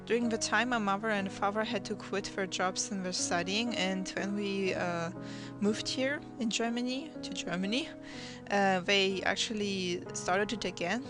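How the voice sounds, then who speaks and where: Young woman, Russian native, halting voice-over narration, mid pitch, music bed behind. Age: teens. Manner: hesitant, many restarts and fillers. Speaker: channel host. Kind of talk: draw my life voice-over narration.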